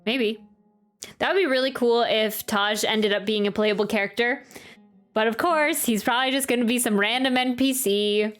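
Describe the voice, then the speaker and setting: A woman's voice: a little high, moderate pace, a bit bright. A woman streaming a game playthrough, reading text aloud and reacting with casual commentary. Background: faint game music.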